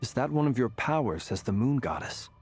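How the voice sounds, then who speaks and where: An actor playing a composed, courteous and analytical man in a video game, speaking formally with measured authority. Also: medium pitch, very animated, darkish tone, breathy edge.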